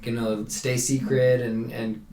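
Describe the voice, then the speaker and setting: A man's voice: mid-range voice, little pitch movement, takes it slowly, a little mellow, slight background noise. A man co-hosting a sports podcast, chatting casually as a coach recapping competitions and giving opinions. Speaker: cheerleading podcast co-host and coach. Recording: roomy, echoey sound.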